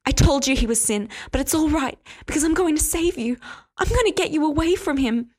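A woman voicing a jealous, zealous young woman who pleads and shouts in high, strained bursts. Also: lively intonation, brisk. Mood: frantic. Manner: shrill, pleading, rising to shouts.

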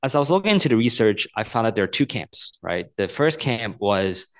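A man in his thirties from Hong Kong speaks as an author being interviewed. His voice sits on the low side, and he explains things earnestly and patiently, often doubling words as he works out a thought. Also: very animated, fairly quick, fairly bright tone. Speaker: author and guest.

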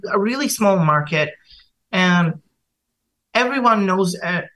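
Young adult, Bulgarian accent, native Bulgarian speaker, hesitant, lots of filler words, mid pitch. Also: lively intonation, slow pace, a bit bright, poor audio. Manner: hesitant, many fillers and restarts. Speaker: singer guest. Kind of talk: casual podcast chat.

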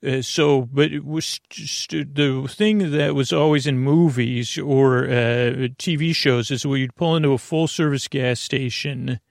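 A man from the United States, hosting a sleep podcast with a meandering monologue full of filler words and tangents, in a creaky voice. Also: medium pitch, a little slow, few pauses, no echo, clean studio sound. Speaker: podcast host.

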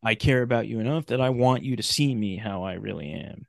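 A man in a casual podcast conversation, talking in a halting, rambling way and often restarting his phrases. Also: on the low side, creaky, dry recording, clean studio sound.

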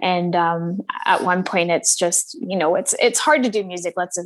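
A woman's voice: on the low side, normal speed. A woman from Scotland with a North American accent and a slight Scottish trace, a singer talking casually in an interview, hesitant with many ums and restarts.

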